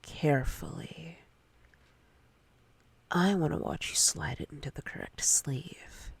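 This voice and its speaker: A woman playing a domineering, teasing female boss in a whispered ASMR roleplay, breathy and husky, speaking deliberately with seductive command. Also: sits low, full character voice. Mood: stern, controlling.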